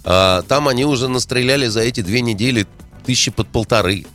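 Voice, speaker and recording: A man's voice: medium pitch, a little fast, fairly bright tone, faint music behind. A man in a heated political discussion, indignant, firing rhetorical questions and hesitating often.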